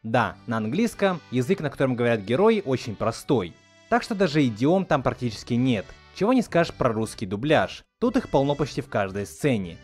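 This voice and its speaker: A man's voice: mid-range voice, very animated, brisk, darkish tone, breathy edge. A man narrating a scripted video essay as a host, with a commentator's delivery. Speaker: video host reviewing film dubbing. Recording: voice-over with music underneath. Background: background music.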